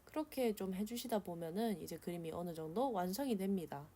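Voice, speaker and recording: A woman's voice: fairly low pitch, expressive, a little fast, dark tone. A woman with a regional South Korean dialect, giving a casual drawing tutorial voice-over in a blunt, chatty, colloquial way. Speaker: art tutorial host.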